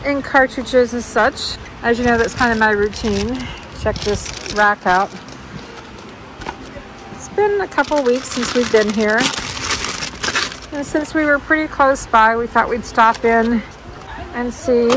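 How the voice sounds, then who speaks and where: A woman's voice: fairly high pitch, takes it slowly, long pauses, background noise. A woman giving a chatty, casual running commentary for a shopping vlog, thinking aloud as she browses. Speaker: vlog host, thrift store reseller. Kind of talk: casual shop-along vlog chat. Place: large indoor warehouse-style thrift store. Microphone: handheld phone filming the shelves.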